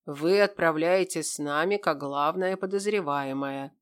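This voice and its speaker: A stern lord from a fantasy audiobook, voiced by a woman narrator. He speaks formally and with command, in a smooth, clean tone. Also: deep voice, measured pace. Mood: stern, accusing.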